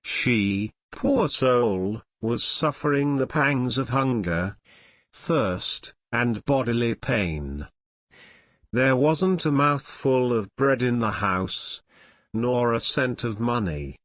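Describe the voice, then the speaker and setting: A man's voice: medium pitch, animated, takes it slowly, fairly bright tone, no room sound, studio quality. A man reading a scripted storybook tale as narration for English learners.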